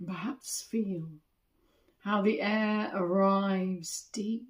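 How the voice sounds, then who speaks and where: A woman meditation teacher leading a guided body scan in a gentle, soothing manner, with long pauses between short phrases. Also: medium pitch, steady tone.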